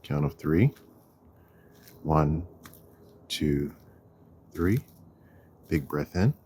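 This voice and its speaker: Deep husky guy guiding a walking meditation, really slow, lots of pauses. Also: animated, mellow, slight background noise. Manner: deliberate, measured, with long pauses. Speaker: meditation guide, counselor. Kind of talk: guided walking meditation narration. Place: outdoors on a walk.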